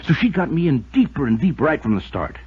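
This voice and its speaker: Casting: cornered ranch foreman turned killer, very rough husky voice, wildly swinging pitch, agitated folksy pleading, vintage radio drama. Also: very animated, fast, exaggerated voice. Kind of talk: old-time radio drama acting. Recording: dull lo-fi old radio recording.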